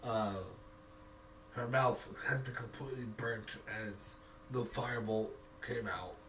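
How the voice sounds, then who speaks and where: A man narrates a tabletop game as its game master. His voice is bright and forceful, improvising as he goes. He sounds a little away from the mic, with faint noise behind him. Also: mid-range voice, fairly even intonation, measured pace, far from the mic, poor audio.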